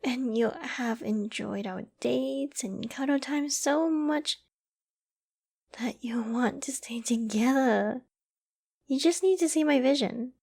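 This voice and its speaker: Woman acting a high-voiced, obsessively lovesick young woman. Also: slow, a little mellow, heavily acted. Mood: excited, smitten. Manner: affectionate, giddy, possessive, with breathy sighs and giggles. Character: obsessive lovesick young woman survivor, sweetly controlling yandere. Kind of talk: intimate ASMR roleplay audio drama.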